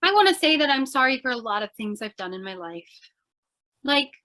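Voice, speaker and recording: A woman's voice: sits high, sharp tone, heavily acted. A woman playing a plucky, scatterbrained reporter in danger in an old-time radio screwball drama, chattery and theatrical with a naive air.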